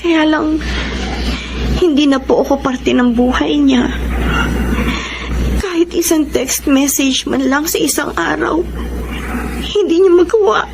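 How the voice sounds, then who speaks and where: A woman's voice: high-pitched, slow pace, pauses often, noisy background. An actress with a Filipino accent playing a hurt, devoted young girlfriend in an emotional radio drama. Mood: resigned, sad.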